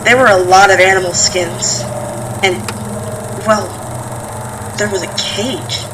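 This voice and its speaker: A woman's voice: mid-range voice, fairly even intonation, slow pace, close to an everyday voice. A woman playing a guarded, impatient daughter and sister in a naturalistic audio drama, with terse, prodding, conversational delivery. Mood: uneasy. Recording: distant from mic, clear background noise.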